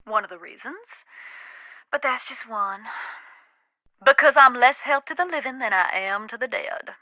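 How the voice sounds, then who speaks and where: A woman's voice: a little high, normal speed, pushed and bright, dry recording, rough recording. An actress playing a wry, haunted Southern woman who knows about ghosts, with a folksy, dramatic, teasing manner in a video game. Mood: haunted, grave. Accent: American South.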